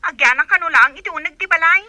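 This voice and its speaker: Distressed young woman, piercingly bright and high actress. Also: fast talker, exaggerated voice. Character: distressed, naive young woman pleading to escape. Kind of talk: Ilocano radio drama acting.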